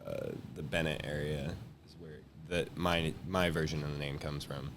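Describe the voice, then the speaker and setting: A man's voice: extremely low pitch, little pitch movement, normal speed, noisy background. A young American man in his late teens chatting casually as a guest. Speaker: high school senior guest. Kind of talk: casual chat.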